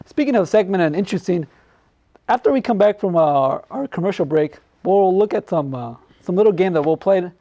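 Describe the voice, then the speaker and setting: High-voiced American man hosting casually. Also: lively intonation, fairly quick, mellow, dull audio. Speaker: talk show host. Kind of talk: casual unscripted talk show chat.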